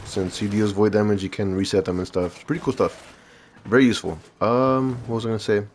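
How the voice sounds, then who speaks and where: A man with a fairly low voice that sounds stuffed up and nasal. He talks like a gaming video host walking viewers through a showcase. His delivery is informal and rambling, often thinking out loud. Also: fairly even intonation, a little fast, slight background noise. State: congested from recovering from illness. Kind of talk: casual gameplay showcase commentary.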